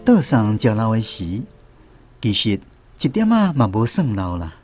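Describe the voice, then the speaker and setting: A man with a Taiwanese accent narrating a scripted radio drama as a grown son, reflective and expressive. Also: on the low side, a little slow. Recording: music behind the voice.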